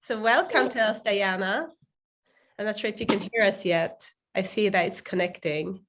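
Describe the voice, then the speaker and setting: A woman's voice: medium pitch, normal speed, fairly bright tone. A woman hosting an online team call, chatty and drawn out with many fillers. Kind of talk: casual online meeting hosting.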